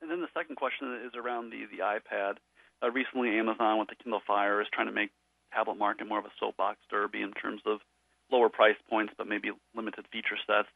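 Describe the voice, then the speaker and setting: A man asks questions as a financial analyst on a conference call. He talks quickly in a low voice with noticeable fry, over a thin telephone line. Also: flat delivery, dry recording. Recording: dry, narrow phone line.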